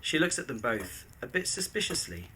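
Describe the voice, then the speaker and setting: Bright-toned male narrator. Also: mid-range voice, sing-song, normal speed, a little hiss or hum. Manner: descriptive, present-tense stage directions. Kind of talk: scripted audio drama narration.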